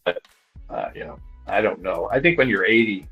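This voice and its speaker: A man's voice: deep voice, animated, moderate pace, gravelly, pushed and bright, breathy edge, muffled recording, faint music behind. A man in his sixties, a guest breeder, rambling and thinking aloud in casual conversation. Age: 60s.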